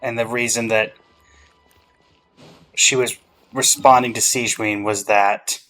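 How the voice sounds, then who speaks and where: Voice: mid-range voice, fairly even intonation, measured pace, a bit bright, faint music behind, rough recording. A streamer giving casual reaction commentary over a game.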